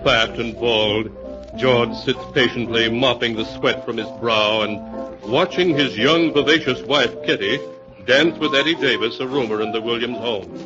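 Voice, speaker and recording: Man, dramatic old radio narration, solemn measured delivery, long reverb, muffled recording, music behind. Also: mid-range voice. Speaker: announcer and narrator.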